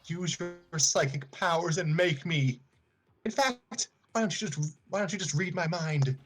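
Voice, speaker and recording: A man's voice: on the high side, a little slow, voice pushed up, natural voice. A man voicing a defensive, evasive young woman. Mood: bitter, challenging. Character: Erica, evasive, defensive, overworked young woman hiding her feelings. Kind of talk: dramatic story reading.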